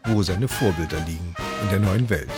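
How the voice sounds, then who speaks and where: A man's voice: sits low, a little fast, over loud music. A man narrating a scripted radio report as the reporter, in composed full sentences.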